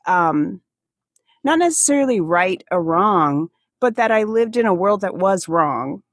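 A woman with an American accent, faintly Alaskan, speaking as an interview guest in a casual, halting way, searching for words. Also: mid-range voice, lively intonation, no echo, studio quality. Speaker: podcast guest, comedian and activist.

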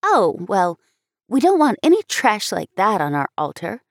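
A woman's voice: mid-range voice, unhurried, dry recording, clean studio sound, voice pushed up, full character voice. A woman reading aloud, voicing an eager, headstrong little girl in a storybook. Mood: scornful. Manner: excited, pleading, scornful.

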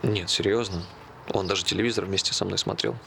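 Evasive, dryly joking ordinary guy, low-pitched with a gravelly edge, natural stage-drama delivery. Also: fairly even intonation, fast, a bit bright, a little hiss or hum. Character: Tolya, evasive, joking working-class man with a dog plan. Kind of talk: naturalistic stage play dialogue.

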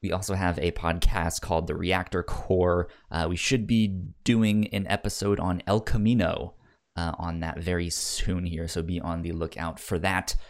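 Low-voiced man with a repetitive stammer. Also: level tone, moderate pace. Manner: stammering, repeats words and syllables. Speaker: podcast co-host. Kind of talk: casual film review chat.

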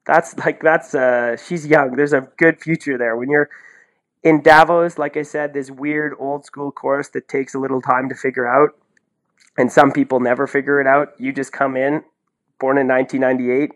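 A man co-hosting a sports podcast, talking in an excitable, effusive, slangy way in casual commentary. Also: mid-range voice, normal speed, rough recording.

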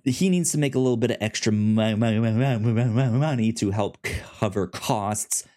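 Podcast host, male, lower register, loose chatty recap with stutters and lots of "like". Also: moderate pace, dark tone. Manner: retells scenes loosely, with stuttered repeats and "like". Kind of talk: casual comic book discussion.

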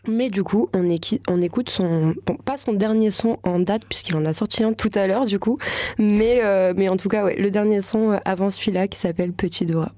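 A woman's voice: medium pitch, normal speed, dark tone. A woman co-hosting a music radio show, talking in an informal, conversational way on a close studio mic. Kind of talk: casual music show presenting.

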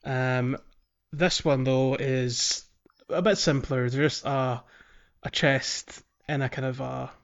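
A man's voice: mid-range voice, steady tone, slow, vocal fry, highs cut off. A man hosting a casual video game playthrough podcast, explaining things in a hesitant, rambling way. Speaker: podcast host.

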